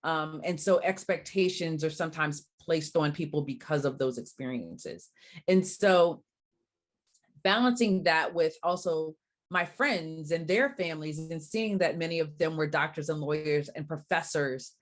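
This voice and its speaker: A woman in her forties from Barbados speaks in a very low, steady voice. She answers interview questions thoughtfully, often pausing with "um" to gather her words. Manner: thoughtful, measured, with frequent "um"s. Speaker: guest, diversity coach.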